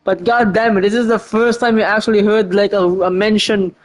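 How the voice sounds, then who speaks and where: A woman streaming a game with casual, informal, reactive commentary and an excitable manner. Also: medium pitch, a little fast, faint music behind.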